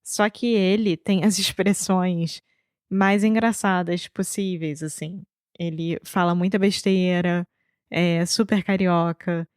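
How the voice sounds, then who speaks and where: Carioca woman cohosting a podcast, low voice, fast lively chat, laughs a lot, dry close mic. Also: smooth, dry recording. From Brazil. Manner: lively, laughing, quick bursts of talk. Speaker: podcast co-host. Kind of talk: casual conversational chat.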